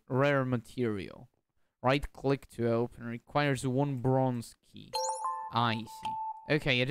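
A man's voice: mid-range voice, noisy background. A young man from Bulgaria, chatting casually as a streamer while playing, often repeating words and asking himself confused questions. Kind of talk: casual gameplay commentary.

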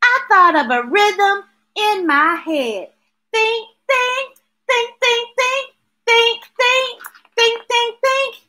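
A woman's voice: very high voice, drawn-out words. A middle-aged American woman hosting children's story time, reading a picture book expressively with playful, rhythmic delivery and chatty asides. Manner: expressive, playful, chanting repeated words rhythmically. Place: small indoor room with shelves of books. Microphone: webcam or device at arm's length.

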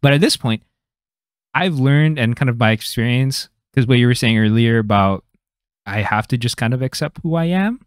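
A man's voice: medium pitch, expressive, moderate pace, clear, resonant tone, no room sound. Young man with a slight Filipino accent, dry sound. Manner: reflective, loose, lots of "like" fillers. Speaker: podcast host. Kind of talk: casual conversation. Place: small home room. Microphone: studio mic on stand.

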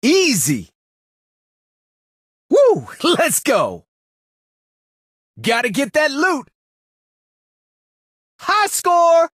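A brash, cocky young brawler from a video game, bragging and trash-talking in short bursts. His voice sits high and swings wildly up and down. He takes his time over each line, and it sounds dry and close. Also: wildly swooping pitch, very slow, pauses often, fairly bright tone, no echo, full character voice. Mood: hyped. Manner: boastful, hyped, trash-talking.

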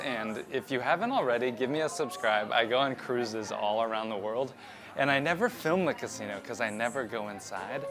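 Chatty man vlogging a ship tour. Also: medium pitch. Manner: chatty and upbeat, talking straight to camera. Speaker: cruise vlogger. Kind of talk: walking tour vlog. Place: cruise ship interior and decks. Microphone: handheld camera at arm's length.

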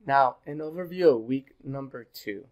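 A man in his thirties, a little sick and hoarse, giving a pre-recorded online class as instructor, relaxed and full of fillers. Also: mid-range voice, moderate pace. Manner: relaxed, filler-heavy, repeats "go ahead". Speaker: university instructor. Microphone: webcam in corner of screen recording.

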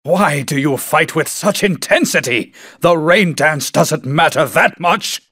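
A man's voice: fairly high pitch, expressive, unhurried, a bit bright, husky, dry recording, studio quality, full character voice. A man playing a haughty, scholarly sorcerer in a video game, theatrical and declaiming. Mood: haughty contempt. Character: haughty scholarly male sorcerer, proud and power hungry. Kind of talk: video game villain acting.

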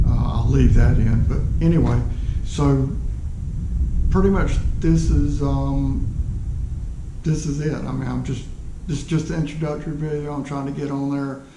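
A man, talking casually and haltingly to camera, in a self-deprecating way. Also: mid-range voice, steady tone. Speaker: woodturner introducing his channel. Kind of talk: casual unscripted vlog talk to camera. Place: small cluttered home workshop, indoors. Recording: roomy workshop echo, faint background noise.

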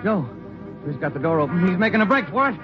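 An actor playing a loyal, matter-of-fact police detective's partner in an old radio crime drama, terse and dry. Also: male voice, high voice, big pitch swings, quick pace. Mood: alert, tense. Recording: muffled old radio recording with music.

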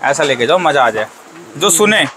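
A man's voice: a little high, sing-song, normal speed, bright, forceful tone, slight background noise. A man hosting a casual vlog, teasing and joking as he chats with a shop owner. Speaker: vlogger host. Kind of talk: casual on-camera chat.

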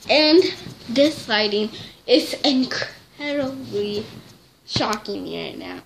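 A woman's voice: on the high side, expressive, slow pace, gravelly, a little hiss or hum. Chatty young girl filming at night. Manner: rambling, chatty, self-correcting. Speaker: vlogger. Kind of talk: casual chat to camera. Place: small bedroom at night, dim lighting. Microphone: device camera held close.

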